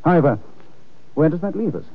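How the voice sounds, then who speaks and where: An actor playing a suave, unflappable amateur detective in 1940s radio drama style, with a dry, ironic, nonchalant delivery and polite hesitations. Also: male voice, a little high, sing-song, brisk, a little hiss or hum. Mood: amused, assured. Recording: dull, lo-fi old radio recording.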